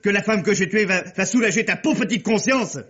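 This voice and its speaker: Radio mystery villain: sardonic, scheming nephew, husky delivery, dull old-radio sound. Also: male voice, sits high, brisk, a bit bright. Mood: mocking fury. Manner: sardonic, coolly cutting. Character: cynical, calculating murderer nephew taunting his guilty accomplice. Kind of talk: French radio mystery drama acting. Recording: muffled old radio drama recording.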